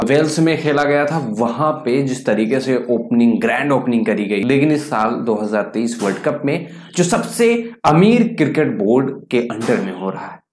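A man's voice: mid-range voice, a little mellow. A man in his twenties giving opinionated cricket commentary to camera, emphatic and fond of rhetorical questions. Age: 20s. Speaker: cricket news YouTuber. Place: small indoor room. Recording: echoey room.

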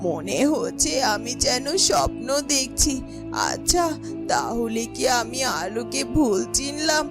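Woman doing a high-voiced worried garden-keeper wife, self-questioning, cartoon, music underneath. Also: animated, unhurried. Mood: troubled. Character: troubled, caring palace garden-keeper woman.

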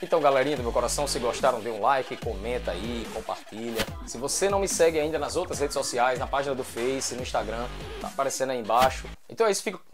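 Fast-talking Brazilian man, casual vlogger. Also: mid-range voice, expressive, over music. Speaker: video host, fan dubber. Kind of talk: casual vlog chat. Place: small indoor room.

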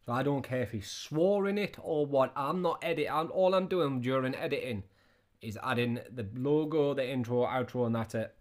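Guy in his 30s doing reaction commentary, scornful, keeps asking the same thing, normal pitched voice. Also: moderate pace. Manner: scornful, repetitive questioning. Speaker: reaction video host.

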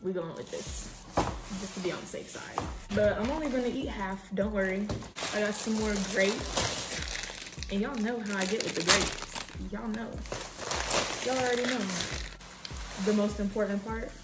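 A woman's voice: medium pitch, a little slow, long pauses, reverberant, over loud music, poor audio. Chatty young woman vlogging from her kitchen. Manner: chatty, slangy, animated, talks while cooking. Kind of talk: casual vlog chat to camera. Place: home kitchen, indoors. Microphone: camera on a tripod at a distance.

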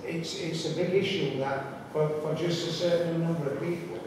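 A higher-pitched man presses his point again and again at a council meeting, circling back over the same words. He sounds distant and boomy, caught by a far camera in a big reverberant hall with steady background noise. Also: moderate pace. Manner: insistent, repeats himself when pressing a point. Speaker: town councillor. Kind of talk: heated council debate. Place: large echoey public hall. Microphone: far room camera. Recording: echoey hall from far off, noisy.

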